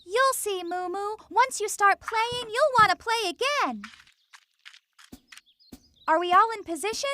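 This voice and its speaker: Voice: expressive, measured pace, pauses often, full character voice. A performer voicing an eager, friendly child character in a kids' cartoon, explaining things simply in a childlike voice. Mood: coaxing, then excited. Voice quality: high, bright, childlike. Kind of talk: animated kids' cartoon dialogue.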